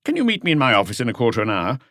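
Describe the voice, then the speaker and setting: Audiobook prosecutor, acted by a man: official, brisk and commanding, raised pitch, dry sound. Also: wildly swooping pitch, a bit bright, no echo. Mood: brisk, urgent. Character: brisk, authoritative male public prosecutor. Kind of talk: audiobook character voice.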